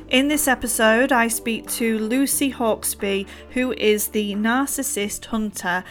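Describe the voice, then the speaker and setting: A woman's voice: a little high, fairly bright tone. A woman hosting a podcast, reading a prepared introduction and then chatting with a guest in an earnest way, with some fillers. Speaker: podcast host. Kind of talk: scripted intro then casual interview.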